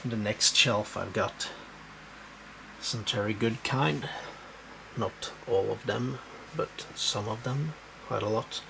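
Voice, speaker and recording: A man with a Swedish accent, whose first language is Swedish, casually showing his bookshelves at home and talking in halting bursts with many fillers. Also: lowish voice, gravelly, background noise. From Sweden. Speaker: book collector showing his shelves. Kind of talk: casual home video walkthrough. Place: home room.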